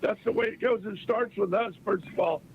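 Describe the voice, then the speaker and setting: A man's voice: sits high, fairly quick, creaky, a little hiss or hum. A man calling in to a radio show, upbeat, counting off his points one by one. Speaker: caller. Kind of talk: call-in radio talk. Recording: dull lo-fi phone-in line.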